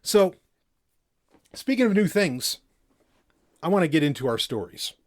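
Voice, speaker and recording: A man's voice: fairly high pitch, sing-song, a little slow. A man hosting a podcast from a home setup, talking casually into a mic on an arm, rambling with lots of fillers and restarts. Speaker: podcast host. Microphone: studio mic on an arm.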